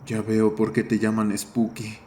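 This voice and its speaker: A man's voice: lowish voice, monotone, unhurried, darkish tone, lightly acted. A man voicing a skeptical, foul-mouthed teenage boy with a blunt manner in a horror audio story. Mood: wary. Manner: blunt, irritated. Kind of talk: dramatized horror audio fiction.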